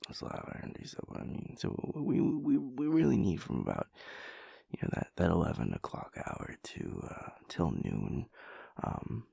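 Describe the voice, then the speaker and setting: A man's voice: low-pitched, wildly swooping pitch, moderate pace, vocal fry, warm tone, slightly rough, no room sound, clean studio sound, heavily acted. A man playing a sleepy, playful boyfriend in an intimate audio roleplay, stammering and hesitant, with mock-serious rambling. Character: sleepy, playful, chaotic boyfriend refusing to get up.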